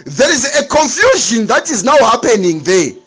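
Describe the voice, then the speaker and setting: Animated high-voiced male preacher. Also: normal speed, husky. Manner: emphatic, repetitive preaching with dramatic pitch swings. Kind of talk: sermon.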